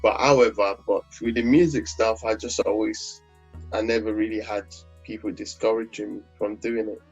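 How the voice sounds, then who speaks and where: A low, husky man with a Nigerian accent rambles through his answers with fillers and false starts. His voice comes through a dull, lo-fi recording. Faint music sits behind it. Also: fairly even intonation, normal speed. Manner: rambling, many restarts and fillers. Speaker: gospel singer guest. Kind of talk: relaxed interview conversation.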